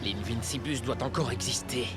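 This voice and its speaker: A man's voice: medium pitch, normal speed, lightly acted. A man voicing a scheming young villain prince in a children's cartoon, boastful and grandiose. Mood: menacing. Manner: grandiose, gloating. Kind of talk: animated children's cartoon dubbing. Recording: loud music over the voice. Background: loud music.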